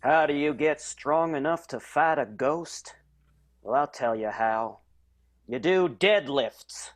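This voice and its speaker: A man's voice: fairly high pitch, slow. A man playing an earnest, instructive vaudeville strongman in an improvised comic radio soap. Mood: self-assured. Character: vaudeville strongman who lifts weights, fights ghosts.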